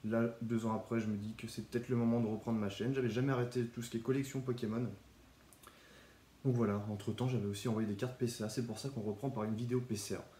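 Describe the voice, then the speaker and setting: A young man with a cold talks in a relaxed, chatty way, showing his graded trading cards in a casual vlog. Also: mid-range voice, fast, echoey room, slight background noise. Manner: relaxed, chatty, with small restarts. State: has a cold, stuffy. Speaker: Pokémon card collector YouTuber. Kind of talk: casual card showcase vlog.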